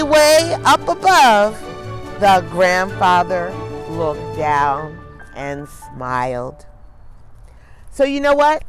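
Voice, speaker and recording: Woman reading a children's story over soft music. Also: medium pitch. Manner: measured, deliberate phrasing with dramatic pauses. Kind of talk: expressive picture-book read-aloud for children.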